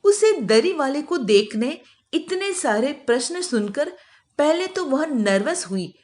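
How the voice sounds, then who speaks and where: Slow, high-voiced woman storyteller. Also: sing-song, a little mellow. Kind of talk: scripted narration of children's moral story.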